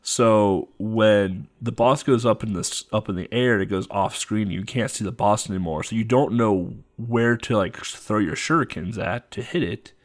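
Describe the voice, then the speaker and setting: A man's voice: lowish voice, moderate pace, hardly any pauses. A man casually commenting on a retro game he is playing, rambling with fillers and frequent laughs.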